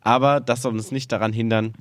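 A man's voice: medium pitch, little pitch movement, brisk, no echo. Male podcast host with a cold, playful and joking, dry close sound. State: stuffy with a cold. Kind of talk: casual chat.

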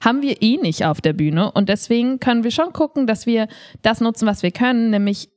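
A woman's voice: on the high side, expressive, a little fast, a little mellow. Casual woman chatting on a podcast. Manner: informal youthful slang with English words. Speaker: podcast co-host, improv performer.

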